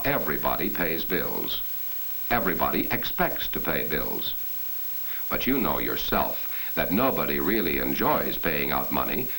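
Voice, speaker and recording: A man narrates a 1940s corporate training film in a low, expressive voice. He is earnest and instructive, speaking straight to the viewer. The old soundtrack carries a faint hiss. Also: rough recording.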